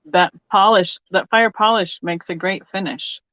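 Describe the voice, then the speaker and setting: Soft-spoken woman calling bids. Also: medium pitch, a little slow, no room sound. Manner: soft-spoken, calls the bids and encourages the guest. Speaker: host of a live glass sale. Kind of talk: live online auction, casual chat. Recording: dry, dull lo-fi sound.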